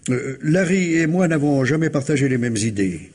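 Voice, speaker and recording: A man's voice: a little high, animated, normal speed, darkish tone. An actor playing a reserved, courteous retired civil servant in his sixties in a French radio mystery, hesitant, formal and precise. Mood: grave, reserved. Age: older.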